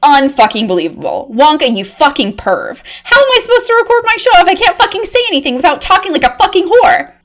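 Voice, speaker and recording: A woman voicing a flustered, easily aroused undercover reporter, swinging from hushed murmurs to shrill cursing. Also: very animated, takes it slowly, pauses often, exaggerated voice. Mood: frantic. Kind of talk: comedic erotic audio drama monologue.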